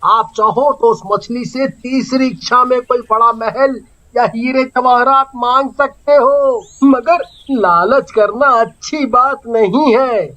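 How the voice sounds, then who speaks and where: A woman's voice: a little high, takes it slowly, a bit bright. A woman playing the sensible, earnest child of a poor fisherman in a children's cartoon story, with a pleading manner. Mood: advising.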